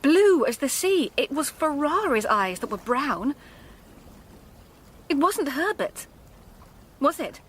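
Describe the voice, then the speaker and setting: High-voiced, determined young woman. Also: big pitch swings, heavily acted. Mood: accusing. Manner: composed, deliberate, probing. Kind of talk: radio drama acting.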